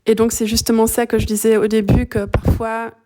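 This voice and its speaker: A middle-aged woman from Belgium, a native German speaker, telling her story calmly and thoughtfully in a podcast interview. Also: on the high side, a little fast. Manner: calm, thoughtful, searching for words. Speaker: guest, shiatsu practitioner.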